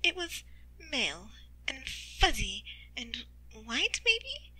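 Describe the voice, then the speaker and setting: A woman's voice: sits high, big pitch swings, slow pace, pushed and bright, slightly rough, voice pushed up, heavily acted, low-quality recording. A woman voicing a scholarly animal mother who speaks tentatively and thoughtfully in a storybook. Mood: uncertain recollecting. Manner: tentative, considered.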